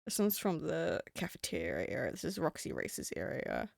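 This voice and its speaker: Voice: medium pitch, huge pitch swings, normal speed, gravelly, no room sound, studio quality. A streamer with a New Zealand accent, chatting casually on a live stream, rambling and swearing. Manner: rambling and swearing, with frequent laughs.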